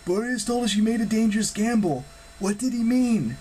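Audiobook role: male reader as a bewildered young hero, earnest, questioning, halting, voice pitched up. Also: animated, a little mellow, husky. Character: earnest, confused teenage boy hero. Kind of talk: audiobook character acting.

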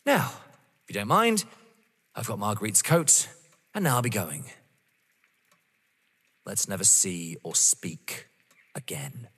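Casting: male actor playing scornful, wounded rival in an audio play; lowish husky voice, very animated, accusing. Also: takes it slowly, long pauses, exaggerated voice. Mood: resolute. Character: defiant, contemptuous male rival, wounded but resolute. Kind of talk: dramatic stage-play acting. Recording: long echoing reverb.

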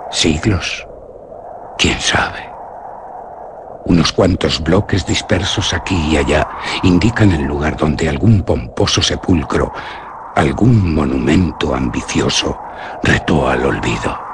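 An actor with a Castilian Spanish accent playing a haunted, feverish man lost among ancient graves in a radio drama, brooding and hushed, with dramatic pauses. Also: very deep voice, background noise, full character voice. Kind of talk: dramatic radio theatre monologue.

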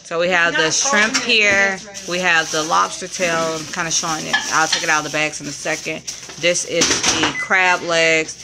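A woman with a low, easygoing voice narrates her cooking in a home kitchen, pausing with ums as she adds each ingredient. Her voice sits deep for a woman. The phone recording carries heavy background noise from the stove and room. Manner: relaxed, chatty, frequent ums while cooking. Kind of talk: casual cook-along narration.

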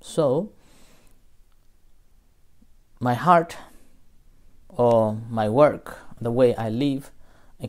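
Calm guy from nepal teaching meditation, slow and mellow, webcam at his desk. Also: mid-range voice. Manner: slow, gentle, with pauses and fillers. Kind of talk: dharma talk. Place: small room indoors.

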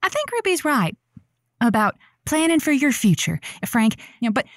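A woman's voice: high-pitched, very animated, normal speed, dry recording, studio quality. Woman playing a witty late-night radio host. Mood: teasing. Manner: witty, playful, sultry on air. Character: Josie, sultry radio host, also playing Joanne. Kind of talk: semi-scripted audio drama.

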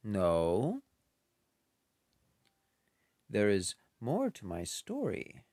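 Man voicing a glum elephant storyteller; lively pitch, unhurried. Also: dry recording, clean studio sound, full character voice. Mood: earnest. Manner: slow, dramatic storytelling with sing-song sounds. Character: gloomy elephant telling his tale. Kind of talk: picture book read-aloud.

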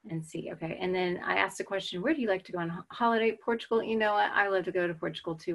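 A woman in her forties with an American accent, chatting casually on a video call webinar and reading out audience answers. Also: fairly low pitch. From United States. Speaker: webinar presenter. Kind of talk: casual chat while waiting to start. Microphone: video call webcam.

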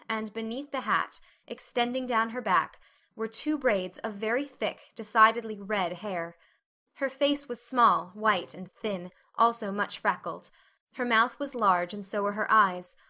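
A woman's voice: medium pitch, bright, forceful tone. A woman reading a classic novel aloud as an audiobook narrator, in a scripted, dramatic storytelling style.

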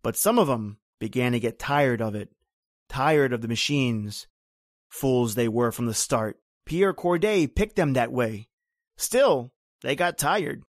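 A man's voice: medium pitch, animated, a little slow, dry recording, clean studio sound, close to an everyday voice. An actor as grim, brooding island storyteller man. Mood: bitter, brooding. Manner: brooding, rhythmic, archaic folksy phrasing. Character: grim male island native, bitter storyteller of old horror. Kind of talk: old-time radio horror drama acting.

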